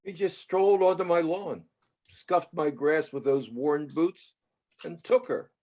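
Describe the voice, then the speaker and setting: A man's voice: fairly high pitch, a little slow, darkish tone, muffled recording, close to an everyday voice. A man playing an unhinged, menacing old producer who grumbles and commands in a screenplay table read. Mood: aggrieved.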